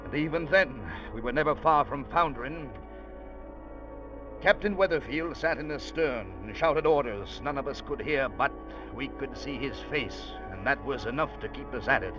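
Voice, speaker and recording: Casting: male actor as earnest ship's officer and storyteller, mid-pitched, vintage radio drama, muffled recording with prominent music. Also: moderate pace, natural voice. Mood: grave, dramatic. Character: earnest ship's officer recounting a harrowing sea rescue. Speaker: first-person narrator.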